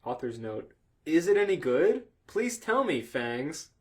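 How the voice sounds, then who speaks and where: A man's voice: fairly high pitch, big pitch swings, unhurried, darkish tone. Jokey man reading aloud on a podcast. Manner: laughs often, jokey, foul-mouthed. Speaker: podcast co-host reading fan fiction aloud. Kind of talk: casual comedic read-aloud with banter.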